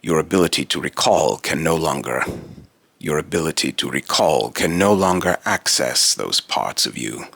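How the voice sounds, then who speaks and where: A man voicing a solemn, otherworldly immortal being in a deep, raspy robotic voice, with grandiose, archaic, halting phrasing in a dramatic monologue. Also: expressive, fairly bright tone, no echo, exaggerated voice. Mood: solemn, instructive.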